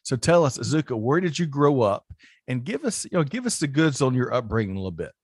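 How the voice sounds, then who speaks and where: Upbeat chatty host, dry close sound. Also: male voice, mid-range voice, very animated, fast talker, husky, dry recording. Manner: upbeat, chatty, with frequent restarts. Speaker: podcast host. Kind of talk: casual interview chat.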